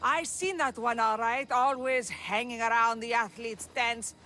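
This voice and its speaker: Gossipy prudish old busybody, bright and high, tutting, game scene with faint music. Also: female voice, a little slow, slight background noise. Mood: scandalized. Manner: gossipy, disapproving, colloquial.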